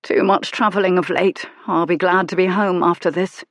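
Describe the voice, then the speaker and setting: Woman reading a cold, clipped gentleman. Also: lowish voice, fairly even intonation, normal speed, dry recording, close to an everyday voice. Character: cold, resentful gentleman, man in his prime.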